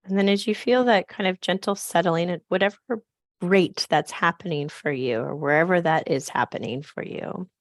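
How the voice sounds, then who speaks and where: A woman with a scratchy, raspy voice, softly leading a slow grounding meditation on a video call. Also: on the low side, no room sound, studio quality. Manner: soft, slow, gently inviting. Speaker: program facilitator.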